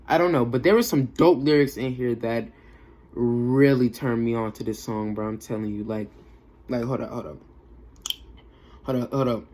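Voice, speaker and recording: A man's voice: medium pitch, steady tone, normal speed, pauses often, a little mellow, slight background noise. A young man giving a casual, off-the-cuff music review in a slangy, emphatic way, often repeating himself for effect. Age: young adult.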